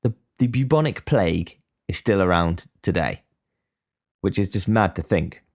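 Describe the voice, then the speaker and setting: A man's voice: low voice, lively intonation, moderate pace, a little mellow. A young man giving a blunt, sweary casual monologue as a podcast host. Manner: blunt, swears freely, ranting.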